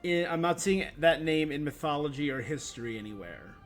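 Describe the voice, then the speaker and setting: Higher-pitched man musing on a livestream. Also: expressive, brisk, soft background music. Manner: musing, thinking aloud. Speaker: game livestreamer. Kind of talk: casual live reaction and lore commentary.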